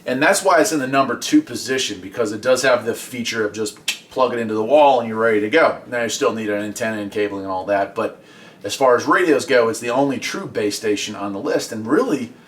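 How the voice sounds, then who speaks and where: A man's voice: medium pitch, quick pace. Man explaining gear, off-mic in a garage. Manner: conversational, explanatory. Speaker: host of a radio hobby video series. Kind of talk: casual product review talk. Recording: voice at a distance from the mic.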